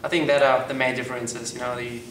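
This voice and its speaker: A man's voice: medium pitch, flat delivery, fast, fairly bright tone, slight background noise. Young man, roomy and distant. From Germany. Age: young adult. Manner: hesitant, many ums. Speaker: college soccer player. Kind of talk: interview answers. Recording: roomy echo, mic far off.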